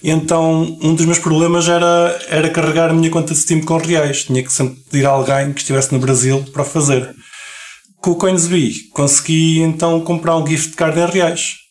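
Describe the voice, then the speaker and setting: A man with a European Portuguese accent co-hosting a podcast in casual chat, relaxed and talkative, often restarting words. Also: a little high, moderate pace. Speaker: podcast co-host.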